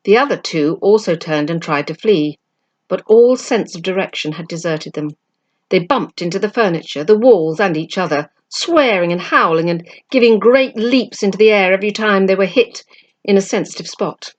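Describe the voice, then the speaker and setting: A woman reading a children's storybook aloud as narration, with an expressive, dramatic delivery. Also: medium pitch, moderate pace, highs cut off. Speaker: amateur book reader.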